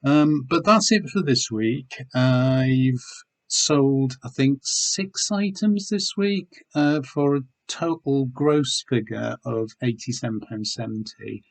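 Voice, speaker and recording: A middle-aged man from the United Kingdom, slightly hoarse, talking casually and rambling with many ums as he shows his eBay items to the camera. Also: mid-range voice, slow pace. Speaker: eBay seller reviewing weekly sales. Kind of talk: casual video chat showing items.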